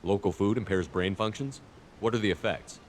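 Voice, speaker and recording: An actor playing a direct, no-nonsense squad commander asking questions in a science fiction game. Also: male voice, fairly low pitch, moderate pace, a little hiss or hum, close to an everyday voice. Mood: brisk, inquiring. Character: brisk, commanding male space soldier leading an investigation.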